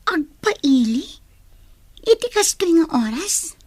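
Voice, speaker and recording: A woman's voice: extremely high pitch, very animated, takes it slowly, darkish tone, dry recording, full character voice. A woman playing an emotive, questioning, anxious young woman in an Ilocano radio drama. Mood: alarmed, anxious.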